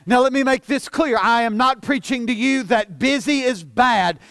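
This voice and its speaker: A man of forty preaching a sermon as pastor, earnest, often repeating and stumbling over words, dropping soft for emphasis. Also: very high voice, normal speed, no echo, studio quality. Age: 40s.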